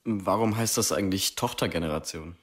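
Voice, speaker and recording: An actor playing a cheeky schoolboy who asks a hesitant, teasing question in class, heard from a little distance. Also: male voice, low-pitched, a little fast, slightly rough. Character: teenage schoolboy pupil, cheeky.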